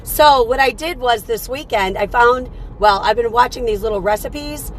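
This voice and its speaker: A woman hosting a casual morning livestream, chatty and upbeat, her voice slightly raspy from a cold. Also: a little high, moderate pace, slight background noise, poor audio. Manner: upbeat, chatty, greets viewers by name. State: fighting off a cold. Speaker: livestream host.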